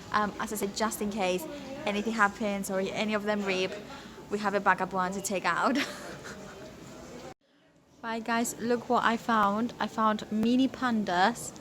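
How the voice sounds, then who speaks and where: A woman's voice: medium pitch, steady tone, a little slow. A young British woman vlogging casually while shopping, tired after work, giggly and talking straight to her viewers. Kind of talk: casual vlog chat. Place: large furniture store, indoors.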